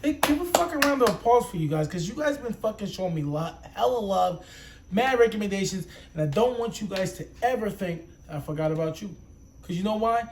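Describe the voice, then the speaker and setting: Hyped young reaction-channel host. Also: male voice, high-pitched. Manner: rambling, profane, hyped street slang. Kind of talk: casual vlog intro.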